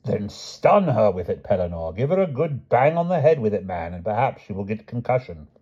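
A stiff, pompous old knight, voiced by a man, huffs in a low, husky voice. He sounds affronted and clipped. The recording is dull and lo-fi. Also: sing-song, a little fast, dark tone. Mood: indignant. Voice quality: stiff, blustering upper-class manner. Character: pompous, testy old knight stuck up a tree.

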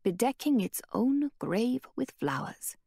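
A woman voicing a calm, cryptic old woman who speaks in a low, hushed, measured way. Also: breathy edge, dry recording, studio quality, exaggerated voice.

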